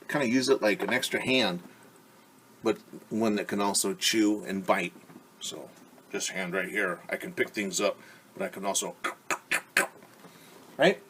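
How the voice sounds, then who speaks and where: A male teacher reads a picture book to little kids in a lively, animated way. His voice sits in a middle pitch. He keeps pausing to explain and to ask the children questions. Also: breathy edge. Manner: playful sound effects. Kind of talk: children's story time read-aloud.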